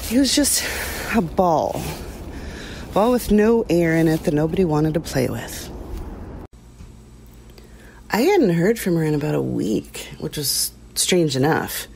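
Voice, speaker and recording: An actress playing a weary, uneasy small-town woman, recollecting in a hesitant, plainspoken, confiding way with pauses, as testimony in an audio drama. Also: deep voice, expressive, gravelly, darkish tone, a little hiss or hum, exaggerated voice. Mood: sad, tender.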